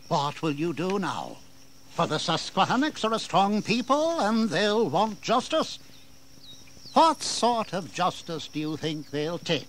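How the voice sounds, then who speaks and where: A high, widely swooping voice carries an indignant colonial governor in a historical audio drama. He is oratorical and authoritative, with bitter sarcasm, over faint background noise. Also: a bit bright, heavily acted. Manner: oratorical, emphatic, deliberate.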